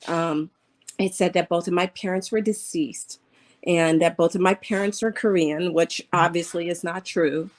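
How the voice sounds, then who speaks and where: A woman in her fifties speaks as an interview guest, recounting her story earnestly and haltingly, often pausing to find words. Her voice sits a little lower than most women's. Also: steady tone. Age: 50s. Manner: earnest, halting, with many fillers.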